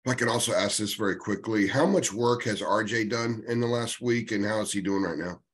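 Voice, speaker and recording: A man's voice: on the low side, brisk, slightly rough. A man working as a sports reporter, asking questions on a press conference call.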